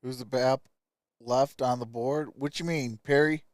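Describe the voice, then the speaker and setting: Man, streamer, casual draft commentary, smooth clean tone, dry close sound. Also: mid-range voice, steady tone, a little slow, dry recording. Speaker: live stream host.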